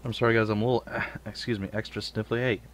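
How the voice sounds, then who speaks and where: Stuffy-nosed man, low voice, gaming commentary. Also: fairly even intonation, fast talker, a little hiss or hum. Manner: rambling, self-joking asides. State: stuffy and sniffly. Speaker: let's play host. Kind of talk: casual gameplay commentary.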